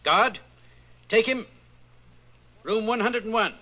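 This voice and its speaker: An actor playing a cold, domineering inquisitor in a radio drama, speaking with deliberate, menacing authority. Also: male voice, sits high, bright, forceful tone, full character voice. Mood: stern, commanding. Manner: deliberate, commanding, interrogating. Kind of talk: old-time radio drama acting.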